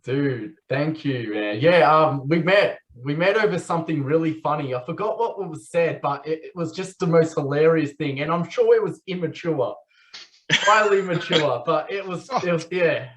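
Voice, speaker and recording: A laid-back man co-hosts a podcast, talking in a slangy, easygoing way. His voice sits slightly high. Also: normal speed, fairly bright tone. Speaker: podcast co-host. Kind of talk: casual podcast chat.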